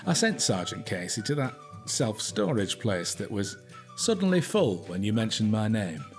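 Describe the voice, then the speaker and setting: Shrewd British detective husband, older, deep-ish animated delivery, blunt and conversational, for audio drama. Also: male voice, normal speed, soft background music. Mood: quietly pleased. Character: shrewd, gruffly affectionate older male detective inspector, a husband. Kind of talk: naturalistic radio drama acting.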